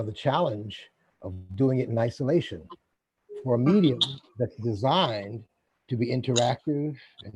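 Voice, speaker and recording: A man in the audience of an online session giving informal, halting feedback. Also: mid-range voice, dark tone, a little hiss or hum, low-quality recording.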